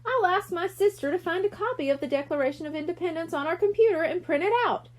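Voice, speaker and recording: A woman's voice: very high voice, fast talker, pitched above the performer's own voice. A woman reading a children's storybook, voicing a playful, scheming young boy with a keen, cheeky manner. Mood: eager. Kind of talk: children's audiobook character voice.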